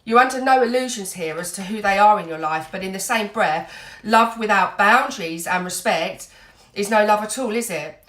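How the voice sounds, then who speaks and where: A woman's voice: mid-range voice, normal speed, hardly any pauses, a bit bright, echoey room, poor audio. A woman giving an earnest, reassuring tarot reading while full of cold, dotting her talk with "you know". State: has a cold, a bit snotty. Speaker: tarot reader.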